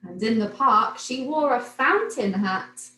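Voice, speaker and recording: A woman reading a children's picture book aloud like a teacher, in an expressive, animated storytelling manner. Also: fairly high pitch, slow. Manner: animated, clearly paced storytelling for young children. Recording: roomy echo, noticeable background noise.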